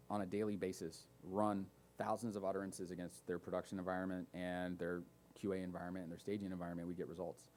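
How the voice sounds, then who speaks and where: Male conference speaker, low voice, relaxed Q&A delivery. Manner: relaxed, lots of fillers and asides. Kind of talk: casual technical talk with audience questions. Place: conference room.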